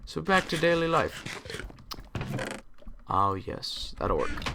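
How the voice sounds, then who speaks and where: A young man hosting a gaming channel, tired and a bit raspy, rambling casually to himself as he plays. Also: fairly high pitch, sing-song, slow pace. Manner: rambling, talks to himself while playing. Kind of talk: casual gameplay commentary. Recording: clear typing noise behind. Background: keyboard typing and clicks.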